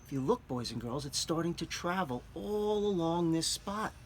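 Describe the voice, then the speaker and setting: A man reading a picture book aloud to children, gently stopping to explain words and show things. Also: on the high side, expressive, normal speed, slight background noise. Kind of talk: children's read-aloud with asides.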